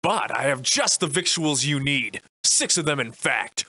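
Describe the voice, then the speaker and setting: An actor playing a wry, defiant Victorian-era adventurer and monster slayer in a video game, soliloquizing in florid, old-fashioned diction. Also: male voice, on the high side, lively intonation, unhurried, sharp tone, no room sound, exaggerated voice. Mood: menacing, defiant. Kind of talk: theatrical video game voice lines in archaic English.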